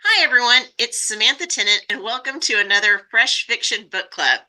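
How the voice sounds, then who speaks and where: A woman's voice: on the high side, lively intonation, fairly quick, sharp tone, low-quality recording. A woman hosting a book club podcast, interviewing in an upbeat, conversational way.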